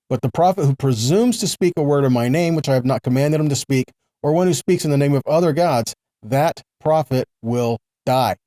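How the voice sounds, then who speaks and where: A man's voice: medium pitch, dark tone, no echo. A man giving a conversational Bible teaching, reading and explaining scripture in an explanatory way. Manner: explanatory, with short check-in questions to listeners. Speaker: religious teacher.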